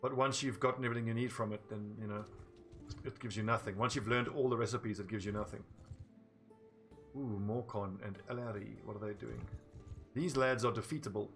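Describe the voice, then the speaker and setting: A man's voice: lowish voice, fairly even intonation, a little fast, soft background music. A young South African man with a South African accent, chatting casually while playing a game on a livestream and answering his chat. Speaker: streamer. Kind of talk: casual gameplay livestream commentary.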